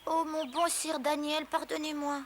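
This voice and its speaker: A woman's voice: high-pitched, little pitch movement, measured pace. A woman playing a delicate, weary, polite youngster in a French cartoon dub, speaking in a meek and plaintive manner. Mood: meek, sorrowful. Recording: noisy cartoon soundtrack.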